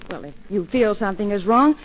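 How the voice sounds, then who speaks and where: An actress playing a forthright young upper-class English woman in a 1940s radio drama, quick and stumbling over her words. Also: medium pitch, expressive, slight background noise, lightly acted. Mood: earnest. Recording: dull lo-fi 1940s radio broadcast.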